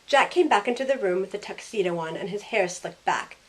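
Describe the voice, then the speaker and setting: Girl playing a sassy young modern woman telling her story, wry and chatty, audio drama heroine, mid pitch. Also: lively intonation, brisk, lightly acted. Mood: fond, wry. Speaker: narrator and lead character. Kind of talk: first-person story narration with in-character dialogue.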